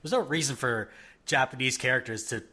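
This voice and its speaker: A man with a mild Canadian accent talks casually on a podcast, in a bright, edgy tone. He thinks aloud and often lets his sentences trail off quietly. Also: mid-range voice, a little fast. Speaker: podcast panelist. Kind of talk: casual chat.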